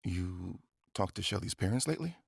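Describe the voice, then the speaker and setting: A grieving young man in a horror audio drama. His voice is husky and full of fry, with lively swings in pitch. He is gentle, casual and wry. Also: a little fast, no echo, clean studio sound, full character voice. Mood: tired, wry. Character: gentle, grieving young male survivor, dryly humorous.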